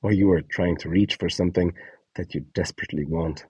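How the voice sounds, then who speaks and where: A man's voice: low voice, fairly even intonation, brisk, darkish tone, breathy edge, dry recording. A man presenting a scripted guide, announcing prompts and describing short example scenes. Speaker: presenter of an audio donation guide.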